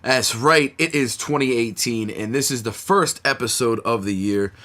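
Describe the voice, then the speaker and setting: A man with a stuffy nose from a cold hosts a casual podcast. He talks in a relaxed, slangy way with some swearing and many "uh"s. His voice sits at a middle pitch. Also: normal speed. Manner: laid-back, slangy and swearing, many fillers. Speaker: podcast host.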